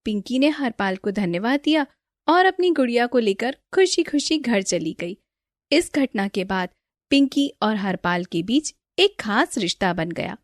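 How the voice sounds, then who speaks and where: A woman's voice: on the high side, sing-song, unhurried, dry recording, studio quality. A woman storyteller reading a scripted children's moral story as narrator.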